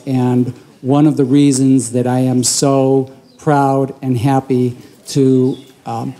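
A middle-aged man giving a public speech as a school superintendent, halting and measured, into a handheld microphone in a school library. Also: mid-range voice, mellow. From born in Cuba, left very young. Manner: halting, measured delivery with frequent pauses.

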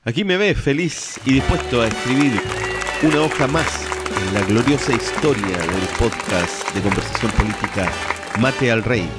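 A man co-hosts a political talk show, speaking in a playful, jokey way. Loud music and crowd noise pound behind him and nearly swamp the voice. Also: mid-range voice, expressive, normal speed. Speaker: co-host and producer. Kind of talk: casual chat.

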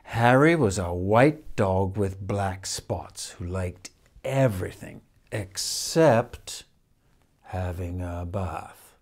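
An older man reads a children's picture book expressively, with measured phrasing and dramatic pauses. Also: deep voice, expressive. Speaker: storyteller reading a picture book. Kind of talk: expressive children's storybook reading. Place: indoors, room in a house with stone wall.

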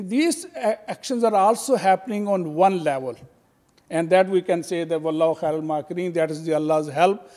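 A man's voice: high-pitched, darkish tone. An older man giving a convention talk at a stand mic, hesitant and full of fillers and restarts. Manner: hesitant, many fillers and restarts, gesturing while speaking. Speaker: convention speaker. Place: convention hall. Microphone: stand mic at a podium. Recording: long hall reverb.

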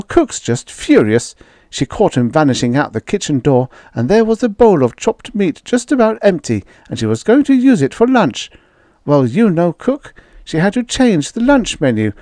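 Fretful housewife, voiced by a man: high, hurried, fussing, with a dry close sound. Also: warm tone, dry recording. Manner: hurried, fretful, breathless chatter. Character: fussy anxious housewife, grown woman. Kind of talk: audiobook character voice.

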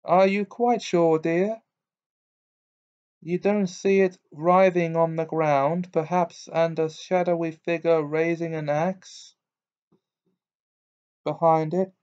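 A man voicing a dreamy, eccentric older woman who teaches divination, with a high, breathy, fussing manner. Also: steady tone, slow pace, pauses often, darkish tone. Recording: dull lo-fi recording.

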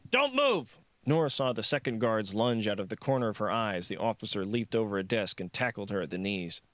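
A man's voice: on the low side, no room sound. A man reading scripted narration for a thriller audiobook.

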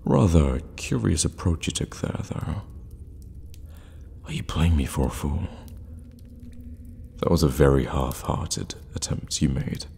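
A man playing an evil, sadistic warlock in an ASMR fantasy roleplay, teasing and menacing, often slipping into a breathy whisper. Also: rumbling low, lively intonation, slight background noise, heavily acted. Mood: mocking, sadistic. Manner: slow, teasing, drawn-out hums and dark chuckles.

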